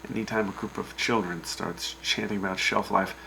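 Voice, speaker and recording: A man's voice: on the low side, steady tone, normal speed, gravelly, bright, forceful tone, slight background noise, poor audio. A man playing a wry, exasperated wasteland radio host, with a dry, folksy manner in scripted banter. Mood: dryly alarmed.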